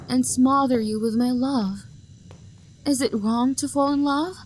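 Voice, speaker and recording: A woman's voice: high-pitched, a little hiss or hum, rough recording. A young woman acting an obsessive, possessive lover who hunts for the one she adores, her voice sweet and menacing and often sinking to a whisper. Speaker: voice actor. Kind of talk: audio roleplay acting.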